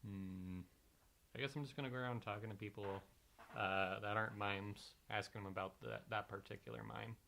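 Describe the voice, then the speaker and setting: A man speaks casually as a player in a tabletop game. His voice is fairly low and quite creaky, over faint room noise. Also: steady tone, moderate pace, sharp tone.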